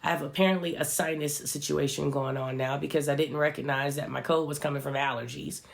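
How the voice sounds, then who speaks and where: Motivational vlogger, congested from a cold, gravelly edge, sincere direct address to camera. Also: medium pitch, steady tone, a little fast. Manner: earnest, speaks straight to viewers, fillers like "right" and "you know". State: stuffy from a cold. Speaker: social media vlogger. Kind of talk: reflective motivational talk to viewers.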